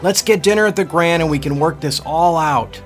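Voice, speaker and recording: A man voicing a smooth, pleading man who calls loudly from a dock. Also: on the high side, very animated, measured pace, faint music behind, pitched above the performer's own voice. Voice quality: loud, pleading. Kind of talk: audiobook character voice.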